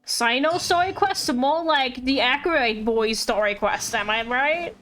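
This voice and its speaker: Female game streamer: high voice, playful rambling chat, faint game music underneath. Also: animated, a little slow, fairly bright tone. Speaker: game livestreamer. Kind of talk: casual live game commentary. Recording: livestream with game music behind.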